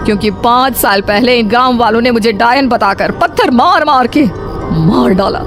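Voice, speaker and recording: A woman's voice: a little high, moderate pace, natural voice. A woman voicing the bitter, vengeful ghost of a wronged village woman in a horror cartoon. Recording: music under the voice.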